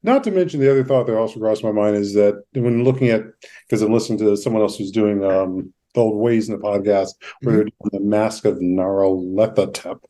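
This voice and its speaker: A man's voice: lowish voice, a little fast, warm tone. A man hosting a conversational podcast interview, thinking aloud in meandering, thoughtful phrases. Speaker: podcast host.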